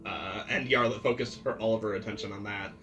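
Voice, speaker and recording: A man's voice: fairly low pitch, fairly even intonation, brisk. Man at a game table, slightly distant. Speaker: player in a tabletop roleplaying game. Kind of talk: casual chat, improvised character storytelling. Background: ambient music.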